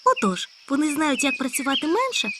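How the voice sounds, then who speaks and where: A woman voicing an excitable, chatty male hare in a storybook tale, talking high and loud. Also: brisk, noisy background. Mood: pleading. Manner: eager, exclaiming, high and loud. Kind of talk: storybook character voice.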